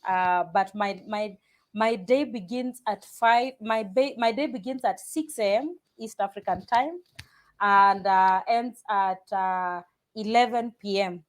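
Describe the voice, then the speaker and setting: A woman's voice: medium pitch, slow pace. A woman from Kenya, a pastor talking casually in an interview, often restarting sentences and repeating words. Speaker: pastor and guest.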